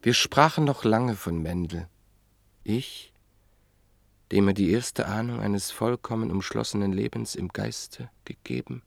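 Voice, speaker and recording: An actor playing a reflective writer who narrates in a measured, literary way in a radio play. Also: male voice, on the low side, gravelly, dry recording. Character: reflective male writer and book collector, narrator.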